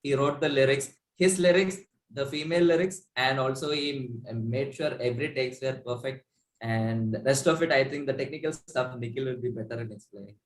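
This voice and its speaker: A man's voice: mid-range voice, a little fast. Indian-accented man chatting in an echoey room. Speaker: musician in a pop duo, interview guest. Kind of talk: casual chat, telling how a song was made. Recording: roomy echo, faint background noise.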